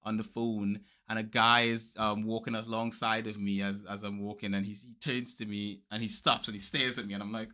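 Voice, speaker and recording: Male podcast co-host, softened Trinidadian accent, bright tone, relaxed storytelling with lots of restarts. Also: lowish voice, fairly quick, few pauses. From Trinidad and Tobago. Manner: easygoing storyteller, many restarts and fillers. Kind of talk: casual chat.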